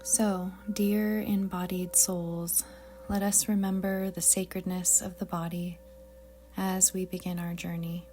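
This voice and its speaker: Slow, low, soft-spoken woman meditation guide. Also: faint music behind. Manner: calm, soothing, gentle, deliberate. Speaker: yoga nidra meditation guide.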